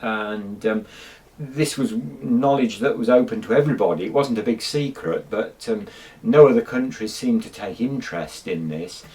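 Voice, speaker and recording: A man's voice: on the low side, normal speed, darkish tone, distant mic. A middle-aged man, casually talking about old tape recordings, rambling with many ums and restarts. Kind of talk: casual chat to camera.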